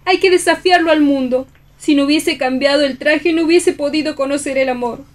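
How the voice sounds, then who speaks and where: Una actriz interpreta a una mujer caída, apasionada y desdeñosa, que defiende su amor con tono teatral en un radioteatro. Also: female voice, high voice, brisk, close to an everyday voice. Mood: earnest pride. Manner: theatrical, indignant. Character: scornful, passionate fallen woman defending her love. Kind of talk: theatrical radio play reading.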